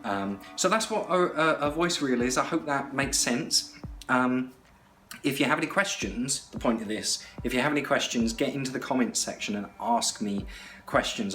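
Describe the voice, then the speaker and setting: A man's voice: lowish voice, fairly bright tone, low-quality recording. A man hosting an audio tutorial, explaining things informally in a conversational, rambling way and often repeating himself. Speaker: host of an audio tutorial channel. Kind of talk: informal explainer talk to camera.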